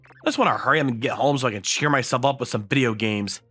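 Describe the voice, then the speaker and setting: A man voicing an excitable, self-pitying high school boy in a comic anime-style dub, with dramatic outbursts and glum asides. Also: quick pace, soft background music. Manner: exasperated, dramatic outbursts and glum inner monologue.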